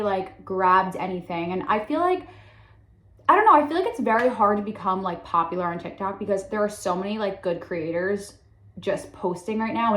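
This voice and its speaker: A woman's voice: medium pitch, fairly bright tone, echoey room. A woman, talking candidly to camera in a casual introduction, filling her speech with "like". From Long Island, New York. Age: 20s. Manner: chatty, heavy use of "like", candid. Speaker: content creator. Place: room in her sister's house.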